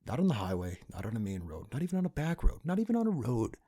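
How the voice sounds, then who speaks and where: A man playing a cynical, pragmatic partner in crime to a vigilante in an ASMR roleplay, talking in a casual, halting, conspiratorial way. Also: medium pitch, wildly swooping pitch, mellow, breathy edge. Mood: businesslike planning. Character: cynical, pragmatic male criminal accomplice to a vigilante.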